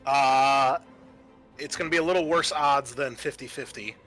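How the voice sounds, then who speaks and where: A man runs a tabletop roleplaying game in a bright, forceful voice. He narrates and rules casually, swearing freely, with music playing behind him. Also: medium pitch, normal speed. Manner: casual, profane. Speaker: game master.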